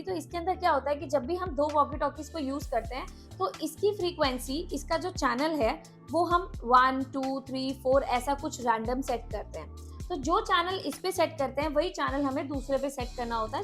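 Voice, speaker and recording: A woman's voice: high voice, fairly bright tone. A woman hosting a casual product demo, explaining things and mixing in English words. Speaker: product review host.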